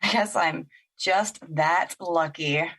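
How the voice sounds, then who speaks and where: A woman's voice: fairly low pitch, slow, fairly bright tone, exaggerated voice. A woman playing a bitter, sarcastic young woman in a naturalistic audio drama, exasperated in manner. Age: young adult.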